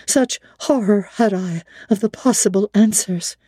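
A woman giving a measured, theatrical dramatic reading of a classic novel in a studio. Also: medium pitch, dark tone, breathy edge, no echo.

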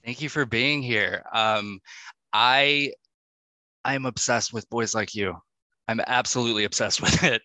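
Bright-voiced man hosting, unhurried. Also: mid-range voice, dry recording, rough recording. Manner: gushing, enthusiastic. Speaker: podcast host. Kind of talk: casual interview chat.

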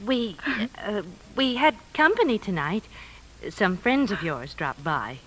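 A woman's voice: medium pitch, very animated, unhurried, low-quality recording. A woman playing a concerned, middle-aged mother of a grown daughter in a 1950s radio drama, solicitous and hesitant. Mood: hesitant, uneasy.

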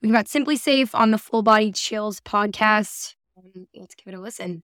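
Clear-voiced woman hosting a casual podcast. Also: mid-range voice, normal speed, fairly bright tone, no room sound, clean studio sound. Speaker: podcast host. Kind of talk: casual chat, guiding discussion.

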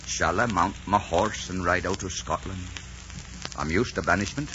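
An actor playing a bold, ardent Scottish lord in a theatrical, romantic 1940s radio drama style. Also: male voice, sits low, moderate pace, background noise, lightly acted. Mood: impassioned. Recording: dull 1940s radio broadcast recording.

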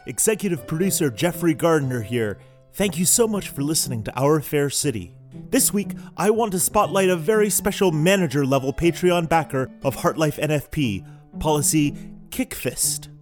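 A man reading a scripted podcast announcement as its executive producer. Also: a little high.

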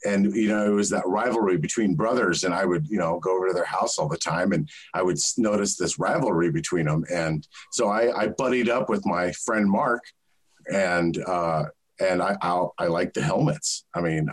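A man in his forties talks as an interview guest. His voice sits on the low side, and he tells his memories haltingly, often stopping to restart his sentences. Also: husky. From South Central Alaska. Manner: halting, reminiscing, with many restarts. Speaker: guest, sports fan. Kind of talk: conversational interview.

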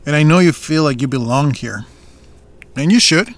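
A stern co-owner of a family farm in an audio drama. His voice sits a little high for a man, and he speaks firmly and deliberately, pressing his questions. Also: expressive. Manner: firm, measured, confronting.

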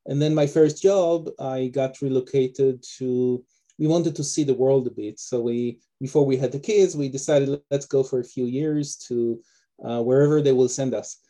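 A man from Israel with an Israeli accent, a guest author talking casually on a video call from his home office, with many pauses and fillers. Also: medium pitch, steady tone, warm tone. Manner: hesitant, many fillers and restarts. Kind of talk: casual interview talk.

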